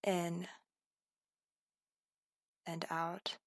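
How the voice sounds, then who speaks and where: A woman guiding a scripted meditation in a calm, soothing, deliberate manner. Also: on the low side, no room sound, studio quality. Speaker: meditation guide.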